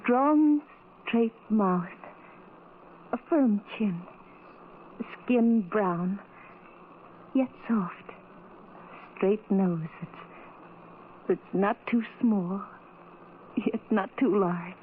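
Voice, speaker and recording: A woman's voice: mid-range voice, drawn-out words, long pauses. Lovestruck young woman in an old radio play, earnest n romantic, tinny noisy recording. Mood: dreamy, adoring. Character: tender, adoring blind young woman in love. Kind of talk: old-time radio drama acting.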